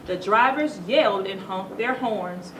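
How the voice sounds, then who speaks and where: A woman reading a children's story aloud to schoolchildren in a teacherly, animated way, stopping to put questions to them. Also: mid-range voice, a little slow. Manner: teacherly, asks the listeners questions. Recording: roomy echo, distant mic, noisy background.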